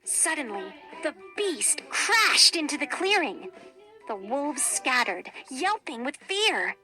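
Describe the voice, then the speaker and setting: A woman reading a children's storybook aloud in an expressive, deliberate, dramatic way. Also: high-pitched, soft background music. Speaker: storybook reader.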